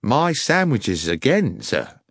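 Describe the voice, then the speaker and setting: A man's voice: medium pitch, huge pitch swings, slow pace, dry recording, studio quality, pitched above the performer's own voice, heavily acted. A man voicing an honest, anxious working-class railway guard who answers deferentially and insists on his story. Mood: defensive.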